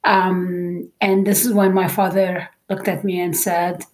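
A woman in her thirties from Lebanon, with a fairly low voice, answers as a guest. She talks through her story slowly and hesitantly, pausing often. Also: level tone. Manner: hesitant, many "um" pauses. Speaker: psychologist guest. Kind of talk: conversational storytelling.

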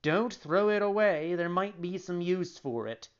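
Kindly old storybook father acted by a reader: unhurried, clear-toned, gently moralizing, mild. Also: medium pitch, dull audio, pitched above the performer's own voice, close to an everyday voice. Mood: calm advising. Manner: slow, mild, fatherly admonishing.

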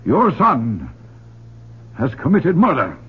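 An actor playing a grim, insistent small-town reverend with a rough voice in an old-time radio drama. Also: male voice, mid-range voice, huge pitch swings, slow, heavily acted. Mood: grimly insistent. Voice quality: husky, rough. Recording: muffled old radio recording.

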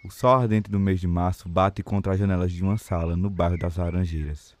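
A man's voice: sits low, fairly even intonation, a little fast. A man with a Brazilian accent narrating a period novel as scripted audio drama.

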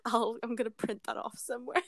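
A woman's voice: on the high side, flat delivery, fairly quick, creaky, a bit bright. A young woman with a British accent, chatting casually as a podcast guest and laughing often. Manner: giggly, laughs often between phrases. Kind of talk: casual chat.

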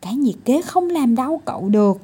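Woman playing a coaxing little storybook doctor, slightly husky. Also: sits high, animated, fairly quick, mellow. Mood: coaxing, reassuring. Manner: coaxing and scolding. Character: tiny male doctor, patient, coaxing, mildly exasperated.

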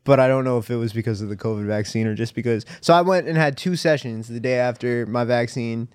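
A man's voice: mid-range voice, quick pace, smooth, no echo. A young man guesting on a podcast in a studio, laid-back and joking, with casual swearing. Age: young adult.

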